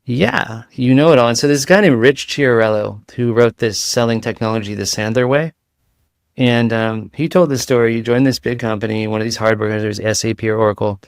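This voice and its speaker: A man with a light voice, a guest in a casual interview, talking in rapid-fire analogies with frequent restarts. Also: medium pitch, dry recording, clean studio sound.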